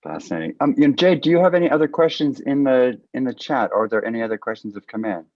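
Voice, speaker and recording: A man moderating an informal panel as host, speaking haltingly and appreciatively over a video call. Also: mid-range voice, lively intonation, dry recording, highs cut off.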